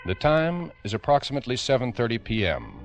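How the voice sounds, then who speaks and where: A man giving solemn, ominous, deliberate scripted narration in the style of old-time radio drama. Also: mid-range voice, sing-song, vocal fry. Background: organ music.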